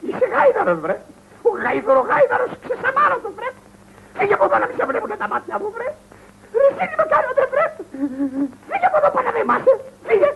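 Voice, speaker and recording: A man's voice: very high-pitched, very animated, vocal fry, few pauses, heavy background noise, full character voice. An actor playing a hapless, self-pitying, hot-tempered middle-aged man in a theatrical comedy film, with a wailing, repetitive delivery. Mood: furious. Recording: muffled old film soundtrack, faint music.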